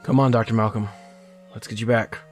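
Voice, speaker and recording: A man acting in an audio drama as a steady, practical game warden, terse and matter-of-fact. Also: lowish voice, brisk, faint music behind, close to an everyday voice. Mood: steady, urgent.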